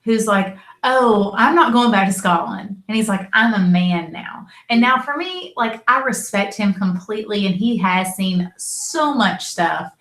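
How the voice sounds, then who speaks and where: A woman's voice: medium pitch, animated, a little slow, few pauses, a bit bright. Southern woman co-host, chatty review talk, roomy echo around her voice. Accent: Southern American. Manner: chatty, acts out quotes, frequent "like" and "you know". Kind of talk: casual review chat.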